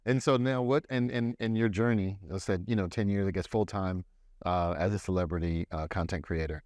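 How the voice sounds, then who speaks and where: A man hosting an interview podcast, asking questions in a halting way, often stopping and starting over. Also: deep voice, steady tone, darkish tone, dry recording, clean studio sound. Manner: halting, many restarts and fillers. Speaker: podcast host.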